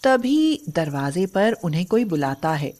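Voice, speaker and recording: A woman's voice: lowish voice, very animated, measured pace, a little mellow. A woman narrating a scripted moral story in a storybook style.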